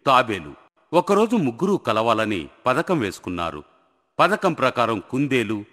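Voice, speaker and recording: A man's voice: mid-range voice, fairly bright tone. A man narrating a scripted moral story for children as the storyteller. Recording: long hall reverb, faint music.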